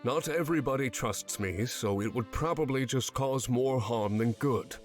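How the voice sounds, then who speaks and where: A man voicing a composed, earnest former enemy commander in a visual novel, speaking in a measured, formal way. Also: medium pitch, soft background music, close to an everyday voice.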